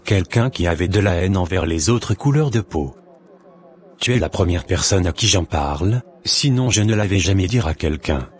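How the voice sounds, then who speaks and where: Very deep-voiced, earnest father played by a man. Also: expressive, moderate pace. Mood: earnest, moved. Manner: earnest, solemn. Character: repentant, earnest father, a humble churchgoing working man.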